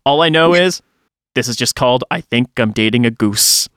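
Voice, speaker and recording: A man's voice: mid-range voice, animated, normal speed, a bit bright, clean tone, no echo, studio quality. A man hosting a podcast in a casual, informal conversational style. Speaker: podcast host.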